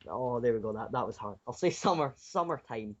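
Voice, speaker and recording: A man's voice: medium pitch, expressive, brisk, dull audio. A sixteen-year-old male game developer giving casual, unscripted interview answers, rambling and often repeating his phrases. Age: 16, teenager. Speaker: self-taught indie game developer being interviewed.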